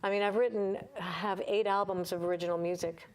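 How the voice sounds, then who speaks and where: A woman's voice: medium pitch, steady tone, brisk. A woman in her fifties, a singer-songwriter and vocal coach, talking candidly and chattily as a guest in a casual interview.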